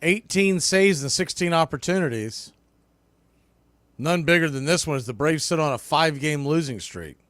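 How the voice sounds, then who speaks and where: Bright, forceful male baseball announcer. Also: lively intonation, normal speed, no room sound. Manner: clipped pitch-by-pitch phrasing. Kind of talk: live sports commentary.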